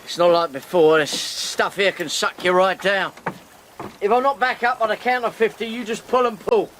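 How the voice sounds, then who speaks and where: An actor playing a rough, cheerful boatman who speaks bluntly and swears freely. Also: high-pitched, steady tone, normal speed, fairly bright tone. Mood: steady. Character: rough-and-ready boatman, brave, coarse and cheerful. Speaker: boat skipper. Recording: noisy background.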